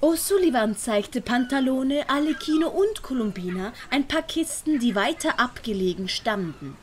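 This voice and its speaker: A woman's voice: high-pitched, lively intonation, moderate pace, slight background noise. A woman reading scripted story narration for an audio play.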